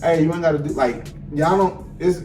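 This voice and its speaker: A man's voice: a little high, brisk, a little mellow. A man co-hosting a podcast in casual chat, blunt and emphatic, with plenty of swearing. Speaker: podcast co-host. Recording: echoey room, distant mic, faint music.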